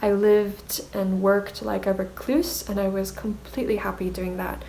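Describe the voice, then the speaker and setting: A woman's voice: mid-range voice, flat delivery, normal speed, creaky, warm tone, a little hiss or hum, low-quality recording. A woman whose native language is Mandarin, speaking in a reflective, self-conscious and hesitant video diary as an artist vlogger.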